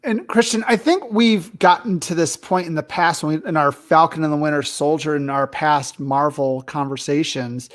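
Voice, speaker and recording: Guy with a kinda high voice, co-host chatting casually about movies. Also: moderate pace, hardly any pauses. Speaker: podcast co-host.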